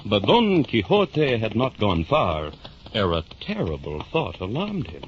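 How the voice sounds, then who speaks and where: A man narrating a literary adventure in the theatrical, measured style of 1950s radio drama. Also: fairly low pitch, huge pitch swings, a little hiss or hum. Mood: dramatic, measured. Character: storyteller narrating a knight's adventures. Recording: muffled 1950s radio recording. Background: guitar music.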